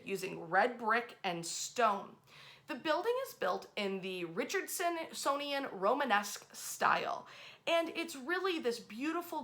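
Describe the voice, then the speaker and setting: An American woman hosting a history storytelling series, speaking in a casual, conversational and emphatic way. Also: fairly high pitch. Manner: animated and emphatic, acts out short quotes.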